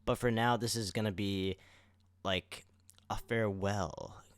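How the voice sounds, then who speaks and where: A man's voice: fairly low pitch, steady tone, measured pace, clean tone, no room sound. A young man co-hosting a podcast in casual chat, now and then addressing listeners like an announcer. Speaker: podcast co-host.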